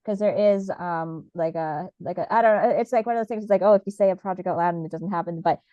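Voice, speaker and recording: A woman talks quickly and casually, a little nasal, piling up fillers and restarts as she chats. Her voice sits a touch low and comes through a slightly dull, dry call microphone. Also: no room sound. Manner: chatty, many fillers and restarts. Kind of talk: casual conversation.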